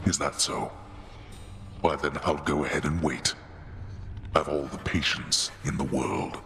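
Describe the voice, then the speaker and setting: An actor voicing a stern, imperious old god of the underworld in a video game, sardonic and menacing. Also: male voice, very deep voice, unhurried, creaky, a bit bright, big-room sound, a little hiss or hum, full character voice. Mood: menacing impatience. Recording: game music behind the voice.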